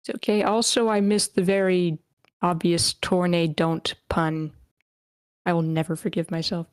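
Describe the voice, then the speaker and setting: Low-pitched woman moderating, wry. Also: level tone, normal speed, clear, resonant tone, no echo. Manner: wry, self-deprecating. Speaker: moderator of an online table read. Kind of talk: casual chat. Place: online meeting.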